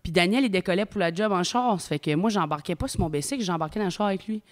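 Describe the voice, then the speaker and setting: A woman's voice: fairly low pitch, fast talker. A woman with a light Acadian French accent, a comedian guest telling a rambling, slangy story in a casual podcast chat.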